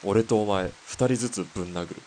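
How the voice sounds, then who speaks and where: A man acting a gruff, cocky young army sniper turned killer in a Japanese audio drama, blunt and curt. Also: lowish voice, fairly quick, darkish tone, slight background noise, lightly acted. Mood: blunt, determined. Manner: blunt, curt, tough-talking.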